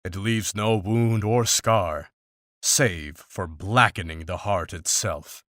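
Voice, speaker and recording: Grim fantasy monster hunter, low voice, deadpan and formal, very dry close recording. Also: male voice, takes it slowly, no room sound. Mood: grim, explaining. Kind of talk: fantasy visual novel voice acting.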